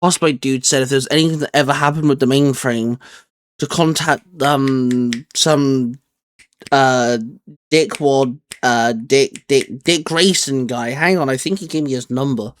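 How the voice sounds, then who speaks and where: A man's voice: medium pitch, measured pace. A man hosting casually, talking in an informal, hesitant, improvised way. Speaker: YouTube channel host. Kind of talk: casual, improvised skit and chat.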